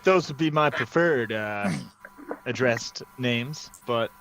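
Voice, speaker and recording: A man hosting a hobby livestream, chatting casually in an excitable, rambling way. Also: medium pitch, slow, low-quality recording. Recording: steady background noise.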